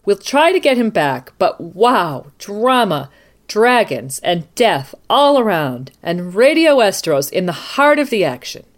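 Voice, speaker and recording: A woman's voice: mid-range voice, sing-song, unhurried. A woman playing a dramatic news anchor hosting a mock live radio battle report. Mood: urgent. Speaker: host.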